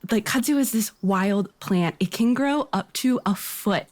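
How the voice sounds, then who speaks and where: A woman with an effusive, excitable way of talking. Her pitch sits in the middle range, and she chats casually and openly as a show guest. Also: a little slow. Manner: enthusiastic, effusive. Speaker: podcast guest.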